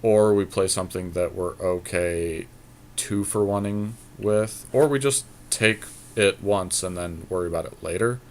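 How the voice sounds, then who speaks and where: Man, slightly deep voice, podcast co-host, casual game commentary, analytical, argumentative, matter-of-fact. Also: measured pace, slight background noise. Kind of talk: casual gaming commentary with a friend.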